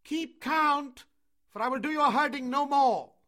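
A proud wolf-raised boy acted by a male narrator speaks in a storybook tale. He declaims in a high, animated voice with scornful defiance. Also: a little slow, heavily acted.

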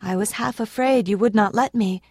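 A woman's voice: mid-range voice, normal speed, husky, dry recording, studio quality, pitched above the performer's own voice. A woman voicing an eager little girl. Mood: pleading. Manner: pleading, soft and eager. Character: sweet earnest little girl, gentle and devout.

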